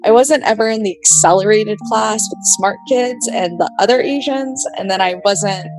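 A woman's voice: medium pitch, normal speed, rough recording. A woman whose first language is Vietnamese, from New Mexico, telling her life story as a podcast guest in a casual, thoughtful way with many fillers. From New Mexico, USA. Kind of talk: reflective personal storytelling. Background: soft music.

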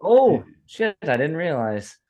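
A man's voice: a little high, very animated, slow, darkish tone, no echo. A man co-hosting a casual podcast chat, easygoing and hesitant, often restarting his words. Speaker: podcast co-host.

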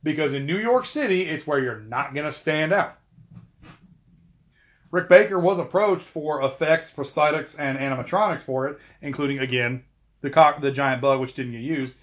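Male host, chatty trivia commentary, a bit high-pitched, dull lo-fi recording. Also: moderate pace. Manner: chatty, with asides and self-corrections. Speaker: video host. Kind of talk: casual film trivia commentary.

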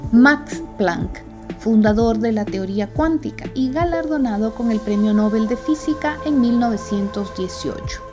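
A woman hosting a radio astronomy program, reading scripted segments in a clear, measured way and interviewing a guest. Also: fairly high pitch. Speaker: radio show host. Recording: music bed behind voice.

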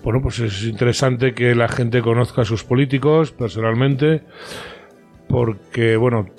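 A man's voice: mid-range voice, steady tone, unhurried, a little mellow, soft background music. A man hosting an interview, chatting casually and often finishing his guest's sentences.

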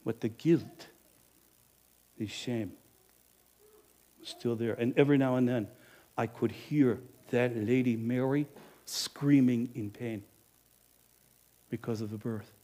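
A man performing a greedy, self-justifying innkeeper in a first-person monologue, swinging between loud bluster and hushed confession. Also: medium pitch, takes it slowly, pauses often, natural voice. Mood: guilty. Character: greedy self-justifying male Bethlehem innkeeper, middle-aged. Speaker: storyteller performing a character monologue.

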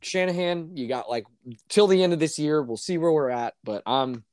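A man co-hosting a casual sports podcast, hoarse and ranting with exasperation, his voice climbing when worked up. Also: on the high side, moderate pace, darkish tone, dry recording, studio quality. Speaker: podcast co-host.

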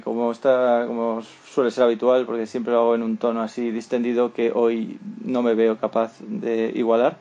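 Mellow Spanish male podcast host. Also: medium pitch, highs cut off. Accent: Spanish (Spain). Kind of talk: unscripted panel interview.

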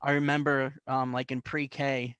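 A man's voice: medium pitch, level tone, unhurried, fairly bright tone, clean tone, dry recording, muffled recording. A young adult man from the New York area telling stories as a guest in a casual interview, with many fillers and restarts. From New York and New Jersey, US. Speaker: guest artist.

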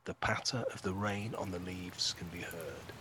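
A man's voice: deep voice, normal speed, noisy background, lightly acted. A man narrating an audio play, reading out scripted stage directions.

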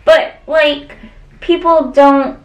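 Slow-talking woman, echoey distant mic. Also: high voice, fairly even intonation. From central Wisconsin. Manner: unhurried, agreeable, backs up her co-host. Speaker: podcast co-host. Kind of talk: casual chat. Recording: roomy sound, mic at a distance.

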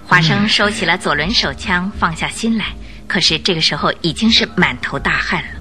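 一位女旁白以富有戏剧性的方式讲述广播剧故事。. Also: female voice, lowish voice, lively intonation, fairly quick, pushed and bright, faint music behind. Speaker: narrator. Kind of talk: dramatic scripted storytelling narration.

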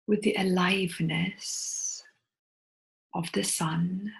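A woman leading a guided meditation in a soft, soothing, breathy voice that often drops toward a whisper, with long pauses between short phrases. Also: lowish voice, fairly even intonation.

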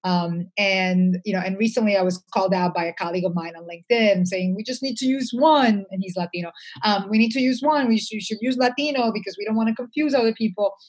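A woman's voice: mid-range voice, fast talker, hardly any pauses, breathy edge, rough recording. A woman speaking as an interview guest, conversational, reflective and earnest, with emphasis on her points.

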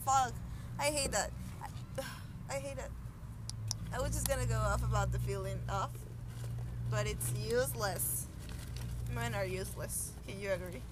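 A woman's voice: on the high side, a little slow, long pauses, heavy background noise. A woman co-hosting a podcast, chatting casually and informally with a friend, with some swearing. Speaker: podcast co-host. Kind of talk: casual chat between friends.

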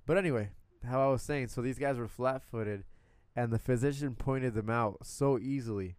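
A man's voice: medium pitch, normal speed, clean tone, no room sound. A young man from Puerto Rico, co-hosting a podcast and telling stories casually in blunt language full of swearing. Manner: blunt, profane, animated retelling with quoted asides. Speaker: podcast co-host. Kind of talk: casual chat, storytelling.

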